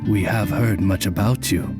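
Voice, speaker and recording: A man voicing a courteous, gentlemanly talking raven familiar in a video game, suave and refined. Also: on the low side, normal speed, natural voice. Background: game music.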